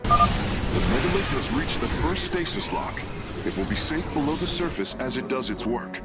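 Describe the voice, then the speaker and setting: An actor playing an earnest, cerebral alien engineer in a video game, speaking formally and precisely. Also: male voice, fairly high pitch, big pitch swings, normal speed. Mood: businesslike. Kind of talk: dramatic video game acting. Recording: loud game music behind.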